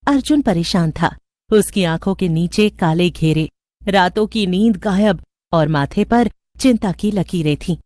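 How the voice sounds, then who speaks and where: A woman's voice: on the low side, animated, measured pace, darkish tone, dry recording, studio quality. A woman narrator reading a scripted horror story.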